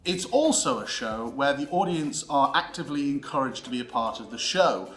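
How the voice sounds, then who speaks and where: A man's voice: medium pitch, sing-song, normal speed. A British man presenting a scripted history lesson in a theatrical, mock-lecturing way, with emphatic delivery and comic asides. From United Kingdom. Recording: roomy echo with music underneath. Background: background music with guitar.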